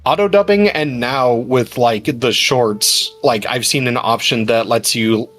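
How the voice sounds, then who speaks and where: A man chatting casually on a livestream, explaining his points in a halting way. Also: mid-range voice, fairly bright tone, soft background music.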